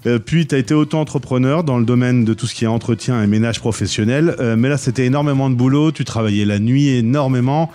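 A man from France interviewing a guest, asking brisk, teasing questions. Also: mid-range voice, warm tone. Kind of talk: radio interview.

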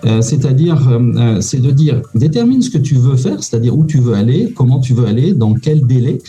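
A man talks through casual advice, stopping often on fillers. His voice is very dark and mellow. Faint music plays behind him. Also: mid-range voice, sing-song, fairly quick. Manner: hesitant, many "euh" fillers, informal. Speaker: immigration consultant guest.

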